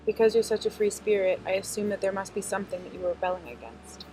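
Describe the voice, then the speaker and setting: Brisk woman narrating her assumptions. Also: medium pitch, fairly even intonation, warm tone, a little hiss or hum, low-quality recording. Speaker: interviewer voicing her assumptions. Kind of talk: scripted documentary narration.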